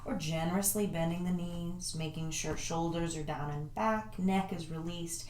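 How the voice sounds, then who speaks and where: A woman leading a yoga class, giving calm, soothing step-by-step cues. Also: fairly low pitch, normal speed, a little hiss or hum. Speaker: yoga instructor. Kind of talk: guided yoga class instruction. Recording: roomy echo, voice from a distance.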